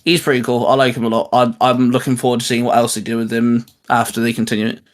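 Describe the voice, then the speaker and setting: A man's voice: medium pitch, flat delivery, quick pace. A man hosting a geek channel, chatting and making announcements in an informal, mildly sweary way. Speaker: host of a geek YouTube channel.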